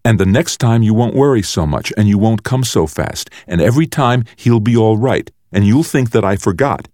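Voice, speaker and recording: A man's voice: fairly low pitch, animated, normal speed, darkish tone, no room sound, clean studio sound, voice pushed up, natural voice. A man voicing a cruel, mocking older brother, a menacing and theatrical boy bully in an audiobook. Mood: menacing, taunting. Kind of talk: audiobook character voice.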